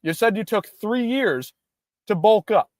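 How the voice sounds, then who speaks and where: A man's voice: sits high, expressive, a little slow, no room sound, studio quality. A young man hosting a casual livestream, ranting in a hyped-up, profane way. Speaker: livestream host.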